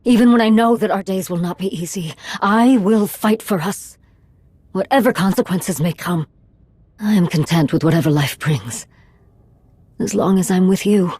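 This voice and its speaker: A woman playing a devoted, steadfast fugitive in a video game, speaking in a measured, earnest way. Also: medium pitch, darkish tone, slightly rough, no room sound, natural voice. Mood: tender, resolute. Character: steadfast, devoted female fugitive, soothing and resolute.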